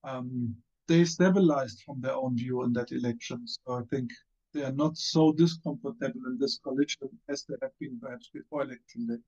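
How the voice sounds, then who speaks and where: A man's voice: mid-range voice, moderate pace, darkish tone, highs cut off. A man with a German accent speaking on a video call panel, hesitant, with many fillers and restarts. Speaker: panelist, former member of parliament. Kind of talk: online panel discussion.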